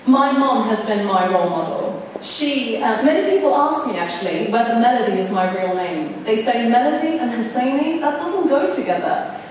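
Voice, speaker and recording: A woman speaking at a podium in a large, echoey hall, heard from a distance with a long reverb tail. Her voice sits a little high for a woman, and she talks in an earnest, storytelling way. She lifts and stresses the words that matter most. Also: expressive, normal speed, a little mellow, a little hiss or hum. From Iran. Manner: earnest, storytelling, rising emphasis on key words. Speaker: award recipient giving acceptance speech. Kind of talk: public acceptance speech. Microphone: podium mic, camera far off. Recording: echoey hall recorded from far off.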